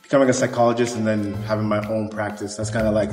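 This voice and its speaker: Relaxed young man in an echoey hall. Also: fairly low pitch, fast. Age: 20s. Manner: relaxed, teasing, repeats words. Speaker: contestant. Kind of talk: casual chat on a blind date. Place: bright studio room. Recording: echoey hall with faint music.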